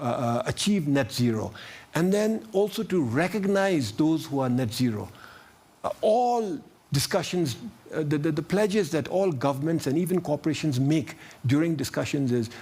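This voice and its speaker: A man speaking as a panelist, halting and repeating words as he restarts his sentences. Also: on the high side, mellow, husky. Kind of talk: panel discussion.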